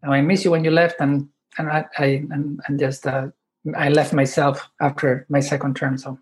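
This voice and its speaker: A man in his fifties from Chile, speaking English, talking haltingly with many restarts as a podcast guest. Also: fairly high pitch, level tone. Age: 50s. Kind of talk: podcast interview.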